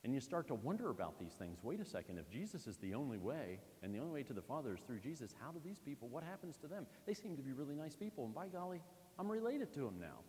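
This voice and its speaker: A man from Pennsylvania preaching a conversational sermon in a church, with lively asides to the congregation. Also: mid-range voice, fast, big-room sound, a little hiss or hum, low-quality recording. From Pennsylvania, USA. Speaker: pastor.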